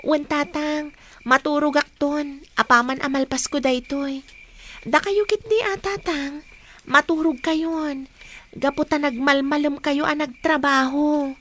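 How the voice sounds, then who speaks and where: A woman's voice: high-pitched, steady tone, a little slow, fairly bright tone, slight background noise. A woman playing a dutiful, respectful young college student daughter in an Ilocano radio drama. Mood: dutiful, caring.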